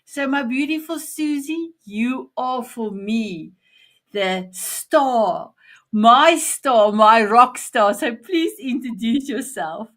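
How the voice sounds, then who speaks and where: A woman's voice: on the high side, lively intonation, slow, fairly bright tone. A woman hosting an online summit, effusive and gushing as she introduces her guests. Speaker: summit host.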